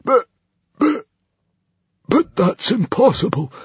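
A storybook villain, an old librarian with a very rough, husky voice pitched well above the middle. He is menacing and strained, and quick to turn flustered. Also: sing-song, darkish tone, no room sound, dull audio, heavily acted. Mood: shocked, stammering. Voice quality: raspy, strained. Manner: stammering when rattled. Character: menacing, flustered elderly male librarian with a bow.

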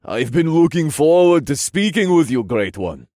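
A man voicing a regal, genial fantasy king with formal, measured phrasing in an audiobook. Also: a little high, very animated, warm tone, no room sound, clean studio sound, voice pushed up. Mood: friendly.

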